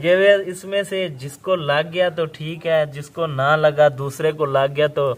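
A man's voice: on the high side, steady tone, a little slow. A young man from Pakistan hosting a casual village vlog outdoors, chatty and laughing often as he talks to his viewers. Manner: chatty, laughs often, keeps saying "okay". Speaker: vlog host.

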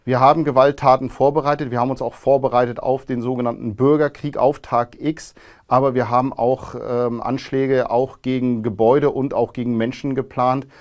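A man in his thirties, a guest in a studio interview, answering in a calm, measured way with frequent hesitations. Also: mid-range voice. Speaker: interview guest, former neo-Nazi. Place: studio with grey backdrop.

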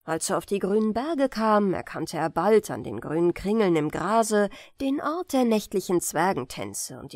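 A woman narrating a bedtime fairy tale in an expressive, deliberate storytelling manner. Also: medium pitch, no room sound, studio quality.

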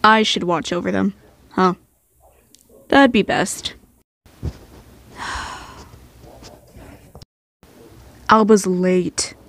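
A woman's voice: medium pitch, steady tone, slow pace, vocal fry, fairly bright tone. A woman voicing fond, easily flustered young men with a sighing, mildly exasperated manner in an amateur comic dub. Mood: fond, tired.

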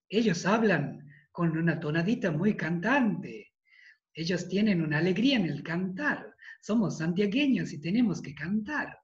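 A man in his thirties with a Tucumán Argentine accent, a priest giving an emphatic devotional talk over a video call, repeating phrases for weight and clearing a tired throat. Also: high voice. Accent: Tucumán Argentine Spanish. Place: study with bookshelves, indoors. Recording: roomy, dull video-call audio.

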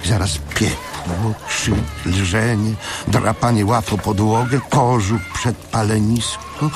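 A man reading literary fiction as a dramatic audiobook narrator, in deliberate, short broken phrases. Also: lowish voice.

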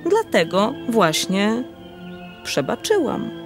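An actress playing a lovelorn, melodramatic countess in a verse radio play, with poetic, drawn-out delivery. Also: female voice, mid-range voice, huge pitch swings, music in the background, full character voice. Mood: exalted, hurt. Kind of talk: theatrical verse drama acting.

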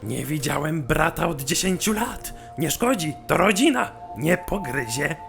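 A man playing a brash, sarcastic, hot-tempered brother with hurt pride in a fan-dubbed animated cartoon. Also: fairly high pitch, a little slow, gravelly, over music, heavily acted. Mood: sardonic, wary. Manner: slangy, sarcastic. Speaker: fan dub voice actor. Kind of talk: animated cartoon dub acting.

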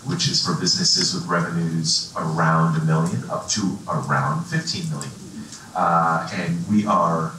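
A man's voice: sits low, sharp tone, slight background noise. A man from the East Coast, a nonprofit director, introducing himself on a panel with emphatic, self-mocking remarks. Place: large conference hall with audience. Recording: echoey hall from far off.

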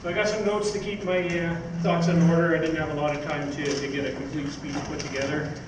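An older man gives an informal talk, rambling a little as he goes. His voice is on the higher side. It is recorded from a distance in a very echoey hall, with clear background noise. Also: flat delivery, quick pace. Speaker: speaker, magazine editor. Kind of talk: informal live talk from notes. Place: theatre stage, indoors. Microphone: stage mic on stand, picked up by distant camera. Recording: roomy, noisy stage recording from far off.

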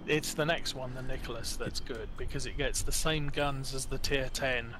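A man's voice: medium pitch, fairly even intonation, normal speed, noisy background. A man on voice chat giving short, matter-of-fact callouts while playing a game with the streamer. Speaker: teammate on stream. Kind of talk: casual gaming callouts.

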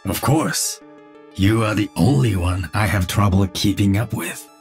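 An actor playing a calm, earnest cyborg ninja with dry humour, speaking measured, solemn video game lines. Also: male voice, lowish voice, faint music behind.

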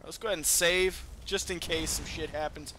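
A man's voice: on the high side, fairly even intonation, normal speed, bright, forceful tone, a little hiss or hum. A man giving casual, rambling, profane commentary as he hosts a gameplay video. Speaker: gamer hosting a let's play. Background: video game ambience.

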